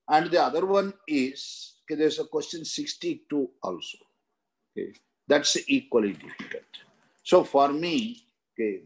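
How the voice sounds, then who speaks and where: An older man with an Indian accent lecturing on exam questions in a halting, repetitive way with frequent tags. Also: mid-range voice, slightly rough, poor audio. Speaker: exam coaching teacher. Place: indoor room, seated.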